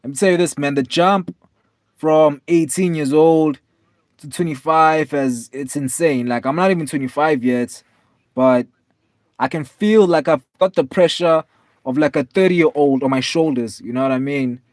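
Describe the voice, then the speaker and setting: A man in his twenties, tired, chatting casually with viewers on a live stream in a relaxed, rambling way with lots of fillers. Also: fairly high pitch, normal speed, no room sound. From South Africa. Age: 20s. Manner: laid-back, rambling, many fillers, calls viewers man and bro.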